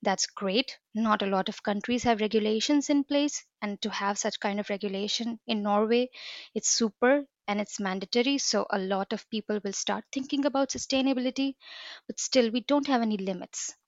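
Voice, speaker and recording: A woman from India speaks, explaining things fluently and earnestly. Her voice has a gravelly fry to it. The audio is dry and a little dull. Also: mid-range voice, moderate pace, no room sound. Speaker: guest, business developer. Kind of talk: podcast interview.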